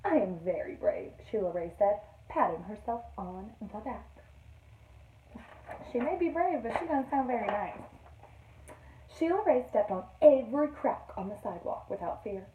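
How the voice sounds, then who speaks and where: A woman teacher reading a picture book aloud to young children in an expressive storytelling manner. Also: medium pitch, phone-quality sound. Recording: roomy echo, distant microphone.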